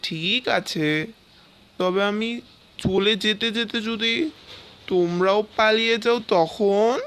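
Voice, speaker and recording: A woman's voice: medium pitch, slow pace, a bit bright, a little hiss or hum. A woman voicing a predatory lioness, proud wife of the lion king, imperious and suspicious, in a cartoon fable. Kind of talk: animated fable character acting.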